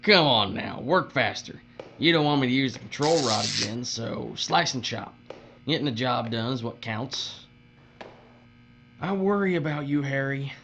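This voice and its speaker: A man's voice: mid-range voice, expressive, normal speed, fairly bright tone, a little hiss or hum. A man playing a smug, folksy, bullying foreman in an audio drama, with a self-satisfied, needling delivery. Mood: gloating, bullying.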